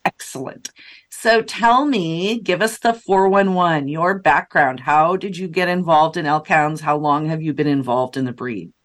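A woman's voice: deep voice, unhurried. A woman hosting a dog podcast, talking in an effusive, emphatic way and laughing often. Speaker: podcast host. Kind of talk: enthusiastic podcast chat.

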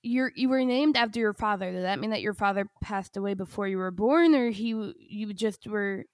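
A woman's voice: medium pitch, normal speed, no echo, studio quality. A woman hosting an interview, asking searching questions and rephrasing them as she goes. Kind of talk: conversational interview.

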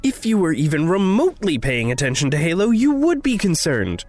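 Fiery righteous man, hugely animated, slightly high voice, indignant, confrontational, audio drama, music behind. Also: a little fast. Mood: urgent warning. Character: fiery, righteous man defending his companion.

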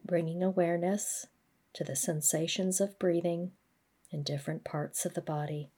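A woman gently guiding a body scan meditation, speaking in a measured, instructional way. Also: lowish voice, steady tone, slightly rough. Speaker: mindfulness practice guide.

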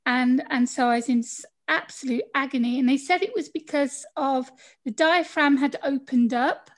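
British woman, high voice, chatty storyteller, interview guest, video call. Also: normal speed. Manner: chatty, laughs often, acts out quoted people. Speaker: podcast guest.

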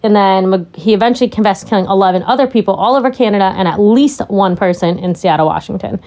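A middle-aged woman co-hosts a true crime podcast in a relaxed, chatty way. Her voice is loud and a little deep, and she drifts into laughing asides as she tells the case. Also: fast talker. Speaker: podcast co-host. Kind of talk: casual true crime chat.

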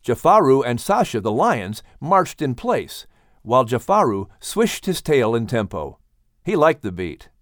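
A man's voice: mid-range voice, sing-song, a little slow, fairly bright tone, no room sound, studio quality. A man reading a children's animal story aloud as scripted audiobook narration.

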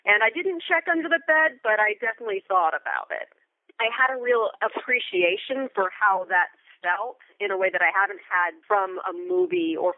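Phone-like narrow sound, dry, American woman in her thirties, thoughtful interview guest, articulate phrasing, deliberate emphasis. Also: medium pitch, dry recording. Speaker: interview guest, theater company member and Foley artist. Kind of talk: conversational interview. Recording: thin, phone-like remote line.

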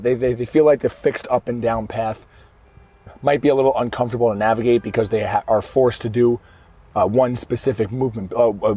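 A hoarse man hosting a fitness podcast from his car in traffic, explaining things casually and often correcting himself mid-sentence. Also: medium pitch, warm tone. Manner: conversational, explaining step by step with frequent self-corrections. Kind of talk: casual solo podcast monologue. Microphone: podcast microphone.

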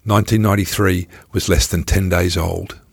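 A man's voice: low-pitched, fairly even intonation, moderate pace, vocal fry, slightly rough, no room sound. Dry-sounding man narrating a wry memoir. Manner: wry, self-deprecating. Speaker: podcast host and memoir narrator. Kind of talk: scripted first-person travel memoir narration. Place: podcast recording studio. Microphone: close studio microphone.

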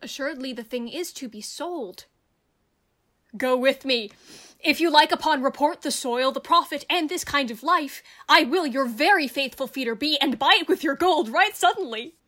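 A woman's voice: sits high, expressive, moderate pace, pushed and bright. A woman playing a humble, kindly shepherd in a Shakespeare radio play, speaking earnestly and deferentially. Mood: humble, welcoming. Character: humble kindly old country shepherd, a man.

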